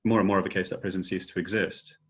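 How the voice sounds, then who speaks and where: A man's voice: low-pitched, little pitch movement, fast talker, a bit bright, husky. A man posing questions in a panel discussion, hesitantly and carefully searching for his wording. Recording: dull, lo-fi audio.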